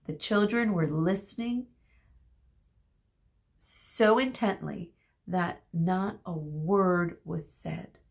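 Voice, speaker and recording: A woman narrating a children's story aloud like a teacher, expressive, dramatic and deliberate. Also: lowish voice, gravelly. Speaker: teacher reading aloud to students.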